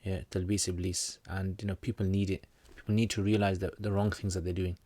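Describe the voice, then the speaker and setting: A man's voice: low voice, flat delivery, fairly quick, warm tone, dry recording. A man teaching and hosting a livestream, chatting casually with viewers in a jokey, rambling way with slangy asides. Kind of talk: casual livestream chat, answering viewer comments.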